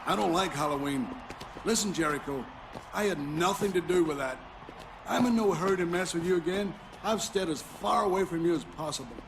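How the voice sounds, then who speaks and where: A man's voice: high-pitched, brisk, background noise. A man playing a defensive, protesting pro wrestler in a video game cutscene.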